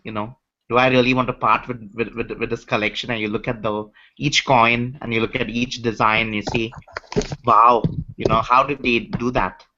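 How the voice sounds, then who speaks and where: A man with an Indian accent talks haltingly in a relaxed group call, stumbling through restarts and fillers. His tone is bright and forceful. The audio sounds dull and lo-fi, as if heard through an online hangout. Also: medium pitch. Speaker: hangout participant, coin collector. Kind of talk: casual group chat. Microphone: video call.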